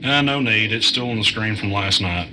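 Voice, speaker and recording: A man's voice: fairly low pitch, moderate pace, pushed and bright, a little hiss or hum, low-quality recording. A man playing a weary young gamer in an audio drama, telling and living a mystery in a plain-spoken, understated way. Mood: uneasy. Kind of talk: audio drama narration and dialogue.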